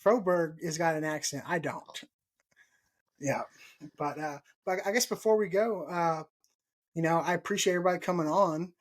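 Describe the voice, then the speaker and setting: A man's voice: a little high, moderate pace. A man in his thirties from Texas hosting a casual gardening podcast over a video call, laughing often and repeating himself. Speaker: podcast host. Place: home room, indoors. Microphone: video call mic.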